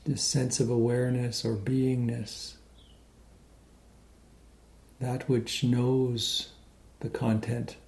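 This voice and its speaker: A man leading a guided meditation in a gentle, unhurried way with many pauses. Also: medium pitch, little pitch movement, vocal fry. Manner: slow, pausing, gentle delivery.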